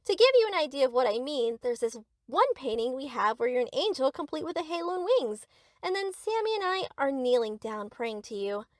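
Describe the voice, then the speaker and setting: A woman's voice: high-pitched, sing-song, fairly quick, no echo, studio quality. A woman playing a young yandere best friend in a scripted roleplay, doting on the listener with cheerful, possessive obsession. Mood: adoring.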